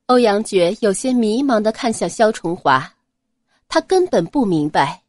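A woman reads an audio novel with smooth, expressive storytelling. Her voice rises and falls in a lively way, and it sits at an ordinary pitch. The recording is dry and close, with no room sound. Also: unhurried, dry recording. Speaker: audiobook narrator. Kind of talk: scripted audiobook narration.

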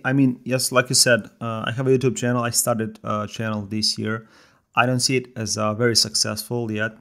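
A man in his thirties from Serbia with a Serbian accent, speaking as a podcast guest in a thoughtful, halting way with many fillers. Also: medium pitch. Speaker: podcast guest, software developer. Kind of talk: casual podcast conversation.

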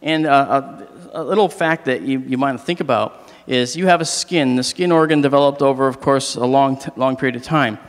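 Slightly hoarse man lecturing in a hall. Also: mid-range voice, moderate pace, few pauses. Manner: animated, joking with the audience. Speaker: astronomy lecturer. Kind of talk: public lecture with jokes. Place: large hall, on stage. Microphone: handheld mic. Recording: long reverb of a large hall.

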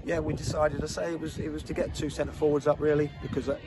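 Fast-talking man outdoors, loud noise. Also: fairly high pitch, flat delivery. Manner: measured, many restarts and fillers. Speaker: football manager. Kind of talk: post-match interview. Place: outdoors at a football ground.

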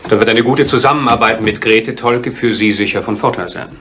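An actor playing a pointed, businesslike police detective, assistant to the inspector, in a 1970s German radio crime drama. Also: male voice, fairly low pitch, a little fast, pushed and bright, slight background noise.

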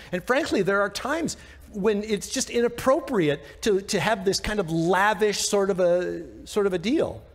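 An American man in his sixties, a pastor giving an informal, emphatic, conversational Bible teaching with rhetorical questions. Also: high voice. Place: church sanctuary stage, indoors. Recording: long reverb of a church hall.

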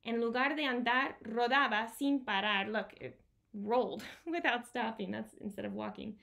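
Woman, thirties, bilingual picture-book reader for little kids; slightly high voice, very measured pace, expressive and explanatory. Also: gravelly. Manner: expressive, explaining and repeating key words for children. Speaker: children's storytime presenter. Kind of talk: bilingual picture-book read-aloud for young children. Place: small home room with bookshelves, indoors.

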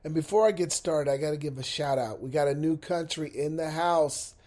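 A man with a stuffy head cold hosts a motivational podcast from his bed. His voice sits a little high for a man yet sounds mellow and warm. He talks in an upbeat, encouraging way, speaking straight to listeners through a laptop mic. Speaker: podcast host. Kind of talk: unscripted motivational podcast monologue. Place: at home, in bed.

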